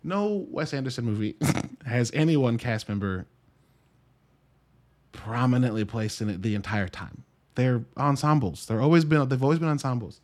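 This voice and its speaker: A man's voice: mid-range voice, expressive, moderate pace. A man giving a casual solo movie review in a conversational, wry way, breaking into his own thoughts with dry asides. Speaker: podcast host and movie reviewer.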